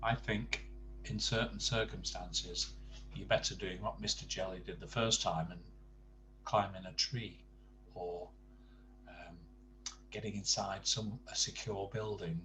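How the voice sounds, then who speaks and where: An older man reading a bedtime story to grandchildren, expressive, with chatty asides and questions to the young listener. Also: sits low, measured pace, slight background noise, poor audio.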